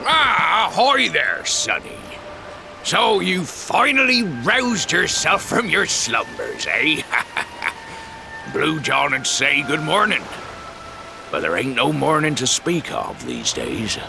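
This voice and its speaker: An actor voicing a gruff but kindly old fisherman in a video game, with folksy, rustic speech and an excitable, theatrical manner. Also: a little high, takes it slowly, noisy background, full character voice. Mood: jovial, weary. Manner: folksy, rustic dialect with "ain't" and "sonny".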